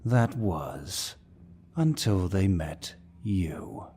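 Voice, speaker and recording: A man playing a suave, dominant demon lord who speaks in a deep, husky voice with mocking courtesy, as in an ASMR villain roleplay. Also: lively intonation, mellow, slight background noise, exaggerated voice. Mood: mocking, bored. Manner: slow, mocking, mock-courteous, theatrical.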